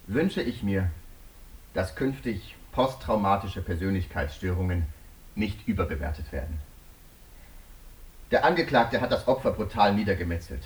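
A man's voice: on the low side, far from the mic, close to an everyday voice, rough recording. An actor in a German radio drama playing a stern, indignant male prosecutor who speaks formally and emphatically.

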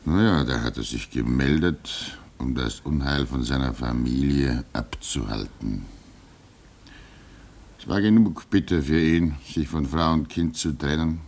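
An actor playing an older, fatherly male comrade who speaks calmly and soothingly. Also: very deep voice, unhurried, full character voice. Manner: calm, soothing, gravelly. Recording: old radio play with faint hiss.

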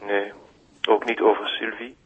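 An actor playing an anxious, secretive gentleman with a Flemish accent in a radio drama, speaking in a hushed, uneasy way. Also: fairly low pitch, flat delivery, moderate pace, breathy edge. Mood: worried, hushed. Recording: dull, band-limited voice.

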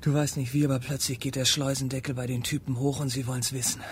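A man's voice: mid-range voice, level tone, fast talker, very noisy, natural voice. A young man playing a tense, fatalistic, streetwise teenage boy in a radio drama, speaking tersely. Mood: tense, grim.

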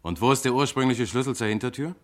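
An actor playing a composed police inspector in a German radio crime drama, questioning suspects with pointed insistence. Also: male voice, mid-range voice, fast, lightly acted. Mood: probing, pointed.

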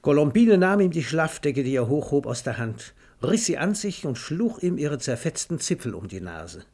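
A man narrating a literary radio play in a measured storytelling manner. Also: fairly high pitch, very animated, darkish tone.

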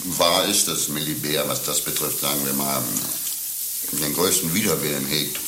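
A man playing a cynical, coarse pimp in a satirical radio play, bragging slyly with knowing pauses and mock tenderness. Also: very deep voice. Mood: smug confiding. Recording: roomy, with faint hiss.

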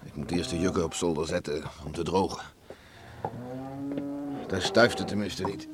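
A man's voice: low-pitched, fairly even intonation, normal speed, close to an everyday voice. An actor in a radio play playing a weary, stubborn middle-aged farmer father who grumbles tersely and gloomily. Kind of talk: Dutch radio play acting. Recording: noisy old radio play recording.

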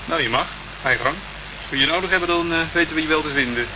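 A man's voice: fairly high pitch, fast talker, lightly acted. Noisy muffled recording, man acting guarded police sergeant, dry grudging manner, radio play. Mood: dry, reproving. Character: guarded, dryly cautioning male police sergeant.

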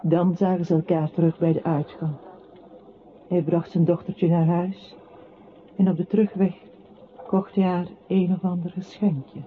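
A woman performing as the narrator of a literary radio play, telling a man's story in a measured way. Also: lowish voice, little pitch movement, natural voice. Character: woman narrator recounting a troubled man's life. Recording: tinny band-limited audio with clear noise.

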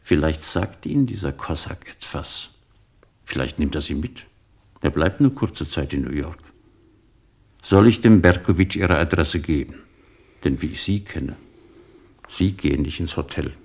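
An actor in a German radio play, playing a talkative, sentimental immigrant shopkeeper who tells things with insistent emphasis and a gravelly voice. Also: male voice, rumbling low, expressive, darkish tone, full character voice. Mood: earnest, urging. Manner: effusive, insistent storytelling with emphatic pauses.

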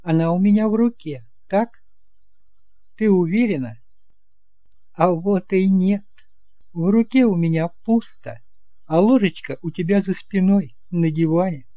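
A man playing an earnest, principled young man in an audio drama, speaking gently but resolutely. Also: high voice, unhurried, long pauses, no room sound, highs cut off, pitched above the performer's own voice. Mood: playful. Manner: earnest, emphatic.

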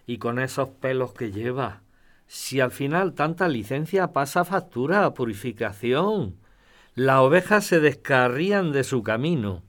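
A man's voice: mid-range voice, expressive, measured pace, fairly bright tone. An actor playing a stern, old-fashioned older man who moralises in a preachy manner, in an audio drama. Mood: disapproving.

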